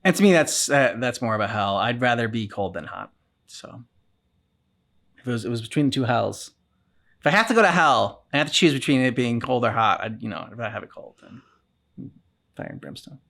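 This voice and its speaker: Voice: medium pitch, expressive, brisk, gravelly, a bit bright. A reaction channel co-host casually discussing a video game in a loose, wry, meandering way.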